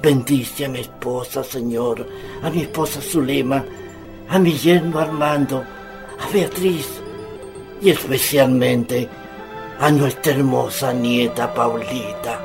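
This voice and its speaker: Man acting a creaky, slow old Chilean grandfather. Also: medium pitch, fairly even intonation, over music. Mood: devout, grateful. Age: older. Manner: hesitant starts, repeats words. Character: jolly, stubborn old grandfather with a big appetite. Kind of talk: radio drama acting.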